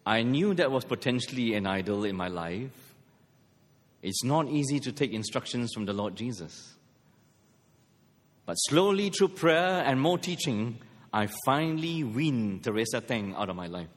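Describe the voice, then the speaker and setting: A man's voice: medium pitch, very animated, measured pace. A middle-aged man from Malaysia preaching a sermon, mixing scripture with humorous personal stories. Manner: storytelling with self-deprecating humor. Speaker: pastor. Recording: long hall reverb.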